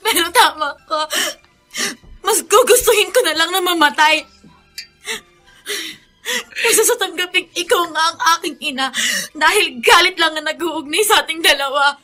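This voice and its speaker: Shrill, bitter Filipina daughter. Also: female voice, normal speed, pushed and bright. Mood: bitter, accusing. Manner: tearful, accusing, melodramatic outbursts. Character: bitter, despairing young daughter who rejects her mother. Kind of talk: Filipino radio drama acting. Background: dramatic music.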